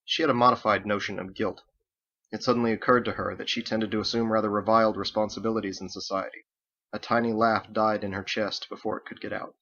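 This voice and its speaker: A man with a low, crisp-edged voice narrates an audiobook from a script, as the author. The recording is slightly dull and lo-fi. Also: level tone, fast talker, a bit bright. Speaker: author reading own book.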